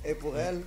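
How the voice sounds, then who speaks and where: Male guest, unhurried and playful, slightly high voice, jokes and slips into English. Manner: playful, joking, laughs a lot, mixes in English. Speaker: interview guest. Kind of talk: casual chat.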